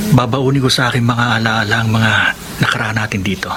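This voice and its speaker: A man's voice: mid-range voice, steady tone, a little fast, crowd noise, natural voice. An actor playing a kind, wistful male suitor with a Filipino accent in a radio romance drama. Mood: wistful, resigned. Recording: noisy ambience behind the voice.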